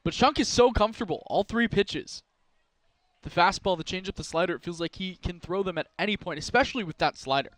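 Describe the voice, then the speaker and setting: A man giving color commentary on a baseball game, breaking down each pitch and swing. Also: a little fast, fairly bright tone, dry recording.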